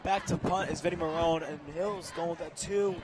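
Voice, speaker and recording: A young man with a hoarse, strained voice doing excitable live play-by-play commentary outdoors at a football game. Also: fairly high pitch, moderate pace. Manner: excitable, shouts on big plays. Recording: loud outdoor stadium crowd noise.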